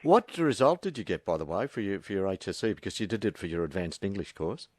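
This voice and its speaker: An Australian man hosting a casual live radio interview, asking halting questions with stammered, repeated words. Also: low voice, expressive, a little mellow, no echo.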